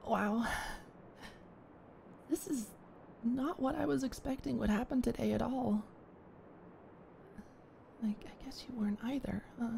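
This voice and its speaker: A young woman in an ASMR roleplay, talking shyly and stammering as a flustered neighbor, sometimes dropping to a soft whisper. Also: a little high, unhurried, creaky, long pauses, slight background noise. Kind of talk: ASMR roleplay, one-sided dialogue.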